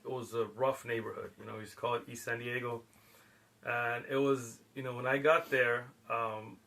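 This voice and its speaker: A man's voice: mid-range voice, bright, forceful tone. A middle-aged man, born in Pakistan, telling stories in a casual chat and laughing often. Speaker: guest. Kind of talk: casual storytelling.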